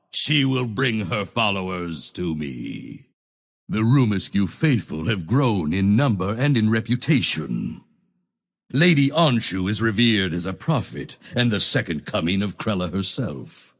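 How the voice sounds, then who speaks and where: A commanding holy knight from a fantasy game. His voice is gravelly and husky, sweeping widely in pitch. He declaims with solemn, grandiose conviction, proud and zealous. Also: full character voice. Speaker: video game character voice. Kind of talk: theatrical fantasy game voice lines.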